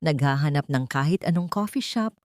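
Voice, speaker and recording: Female Filipino narrator, lowish voice, dry close sound, measured and sentimental storytelling. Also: animated, no room sound. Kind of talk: scripted dramatic story narration.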